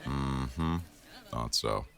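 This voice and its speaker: An actor playing an exacting, sardonic executive news producer with a dry, authoritative delivery in a scripted audio roleplay addressed to the staff. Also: male voice, very deep voice, drawn-out words, gravelly, a bit bright, soft background music, exaggerated voice. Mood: wry, commanding.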